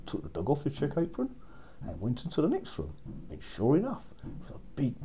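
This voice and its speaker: A man's voice: mid-range voice, dark tone, slightly rough. A man narrating a fairy tale for children as a storyteller, repeating phrases for effect.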